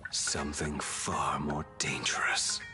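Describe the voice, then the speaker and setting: A man voicing a grave, world-weary swordsman in a video game, terse and deliberate in delivery. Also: rumbling low, little pitch movement, over music. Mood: grim, restrained.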